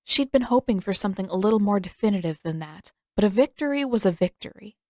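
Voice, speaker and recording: Animated woman narrating a story, dry sound. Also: mid-range voice, quick pace, no echo. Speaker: fan fiction narrator. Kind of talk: expressive scripted story narration.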